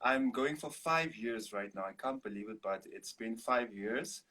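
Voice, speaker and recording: A young man from Namibia whose first language is Afrikaans, chatting in a relaxed, rambling way as a guest on a video-call interview. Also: medium pitch, measured pace. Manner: relaxed, rambling with restarts and laughs. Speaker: guest, singer-songwriter.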